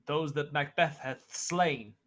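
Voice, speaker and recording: A man's voice: a little high, takes it slowly, a bit bright. A young man acting as an earnest narrator and nobleman in a Shakespeare radio play. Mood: somber. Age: young adult. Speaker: student actor, narrator and supporting parts.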